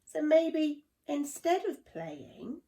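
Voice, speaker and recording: A woman voicing a cautious, kind animal friend in a children's storybook, speaking carefully and gently. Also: high-pitched, very animated, drawn-out words, far from the mic, pitched above the performer's own voice, exaggerated voice.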